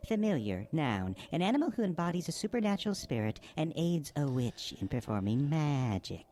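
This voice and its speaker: A woman's voice: sits low, big pitch swings, normal speed, dark tone, a little hiss or hum. A woman playing a dry, sarcastic talking cat who serves as a witch's familiar in a radio play. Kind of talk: radio-play character acting.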